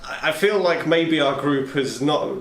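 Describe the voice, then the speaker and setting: A man's voice: a little high, unhurried, crowd noise. A man playing a tabletop game, chatting casually and slipping in and out of role-play. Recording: echoey room with background noise.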